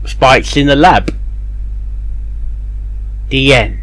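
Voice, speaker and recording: A man giving casual reaction commentary as a host, speaking in a halting, piecemeal way. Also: mid-range voice, a bit bright, dry recording.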